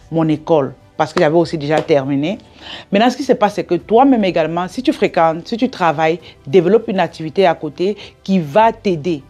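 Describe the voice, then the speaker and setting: Emphatic African-accented woman, lowish voice. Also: a little mellow. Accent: African French. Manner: emphatic and instructive, rhetorical questions and check-ins. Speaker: business coach and video host. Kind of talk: direct-to-audience advice vlog.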